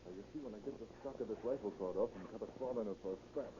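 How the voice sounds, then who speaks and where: An actor playing a brash, ruthless young escaped convict in a 1930s radio crime drama, curt and bossy with slangy talk. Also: male voice, on the low side, rapid-fire, lightly acted. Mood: boastful. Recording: dull, noisy old 1930s radio recording.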